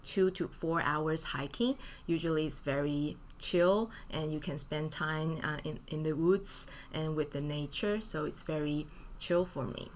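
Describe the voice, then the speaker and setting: Woman, low voice, English, relaxed guest in studio. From Taiwan. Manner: friendly, casual, uses fillers and non-native grammar. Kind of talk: studio interview answers. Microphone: studio mic.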